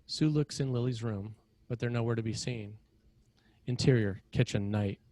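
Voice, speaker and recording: A man narrating scripted screenplay directions in a measured, matter-of-fact way with short clipped phrases. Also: medium pitch, dark tone, no room sound.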